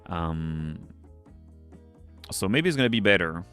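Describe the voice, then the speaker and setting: A man with a deep voice, English not his first language, chatting casually on a livestream as he draws, rambling, muttering to himself and swearing now and then. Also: animated, slow, fairly bright tone, clean tone, soft background music. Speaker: streamer. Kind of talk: casual livestream chat while drawing.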